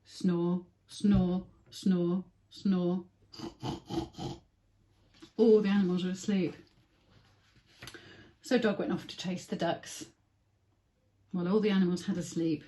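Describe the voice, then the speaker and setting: A woman's voice: fairly low pitch, steady tone, slow pace, long pauses, mellow. A woman reading a picture book aloud to young children with simple phrasing and dramatic emphasis. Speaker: early years story reader.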